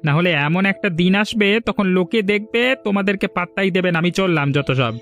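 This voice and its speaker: A man's voice: sits high, normal speed, fairly bright tone, faint music behind. A man voicing a stern village shopkeeper in a cartoon, lecturing and reproachful. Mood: scolding. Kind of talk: cartoon dialogue.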